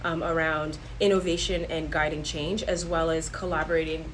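A woman's voice: low-pitched, level tone, moderate pace, a little hiss or hum. A woman public servant on a panel, giving earnest, encouraging career advice.

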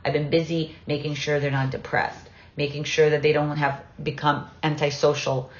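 A woman with a low voice talks personally in a conversation. She is halting, restarting her phrases as she searches for words, and the sound is roomy and slightly dull. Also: little pitch movement. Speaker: interview participant, writer and parent.

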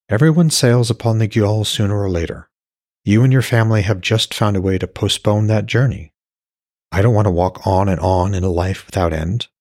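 Man voicing a gentle, earnest young woman. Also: fairly low pitch, dry recording, clean studio sound, close to an everyday voice. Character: gentle young woman, loving, sad yet resolute. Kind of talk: fantasy audiobook character dialogue.

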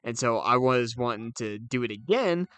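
A man's voice: medium pitch, lively intonation, normal speed, a bit bright, no echo. Man joking casually, dry close sound. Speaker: podcast co-host.

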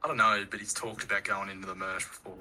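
A man's voice: lowish voice, little pitch movement, fast talker, bright, forceful tone. A young Australian man chatting easily on a casual podcast, trailing off as he talks. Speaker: podcast co-host. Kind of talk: casual chat among friends.

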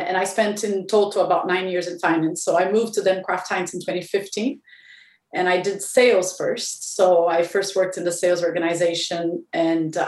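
Brief: low-voiced woman from Brazil, company president interviewed, steady and reflective, a bit far off in a roomy space. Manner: measured, thinking aloud with restarts and fillers. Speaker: company president, podcast guest. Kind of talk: business interview.

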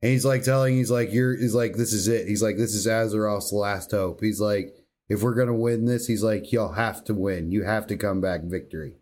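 Low-pitched man in casual, slangy banter. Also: steady tone, moderate pace, darkish tone. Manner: emphatic, informal, slangy, says "dude" often. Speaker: podcast co-host. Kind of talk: casual banter about video games.

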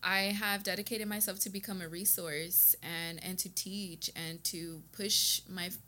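An American woman from Texas with a bright, slightly low voice. She speaks as a podcast guest in a casual, conversational way. Her delivery is informal and earnest, and she repeats words for emphasis. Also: fairly even intonation, measured pace. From Texas, United States. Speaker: podcast guest, beauty business owner and educator. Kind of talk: casual conversational interview, personal storytelling.

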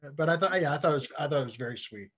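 A man chatting casually as a podcast guest, halting and often repeating his words. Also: a little high, lively intonation, a bit bright, breathy edge. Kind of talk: casual chat. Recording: dull, lo-fi audio.

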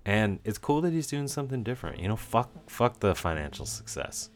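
A young man co-hosting a casual film podcast, speaking haltingly with lots of fillers. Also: fairly low pitch, very animated. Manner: halting, filler-heavy, chuckling. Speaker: podcast co-host. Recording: faint music behind.

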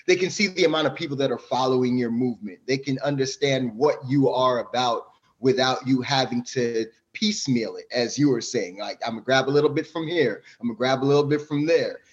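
A man's voice: mid-range voice, brisk, few pauses, lo-fi sound. A Jamaican-born man talking casually as a podcast guest, explaining things at length in an easygoing way.

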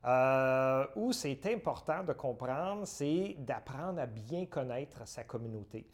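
A middle-aged man from Northern Ontario, answering interview questions in measured, list-like phrases with frequent hesitations. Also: mid-range voice, expressive. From Northern Ontario, Canada. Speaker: guest, community centre director.

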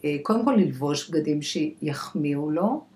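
An Israeli woman speaking as an expert guest in a conversational podcast interview, halting and repeating words for emphasis as she searches for phrasing. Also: deep voice, expressive, dark tone. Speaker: guest expert, clinical dietitian. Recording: echoey room.

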